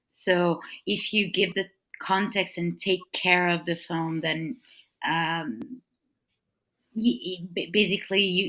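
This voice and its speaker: A woman guest on a podcast panel, talking about film programming in a halting way with many restarts. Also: fairly low pitch, bright, forceful tone. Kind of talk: casual panel discussion.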